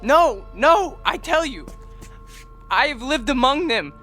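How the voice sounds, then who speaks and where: A man's voice: very high-pitched, lively intonation, slow, pushed and bright, heavily acted. An actor in a radio drama playing a desperate young alien defector who stammers as he pleads for humankind. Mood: earnest pleading. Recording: music under the voice.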